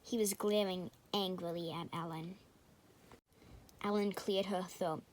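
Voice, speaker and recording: A woman's voice: lowish voice, fairly even intonation, unhurried, darkish tone. A woman reading scripted story narration for a puppet show, in a slightly noisy home recording.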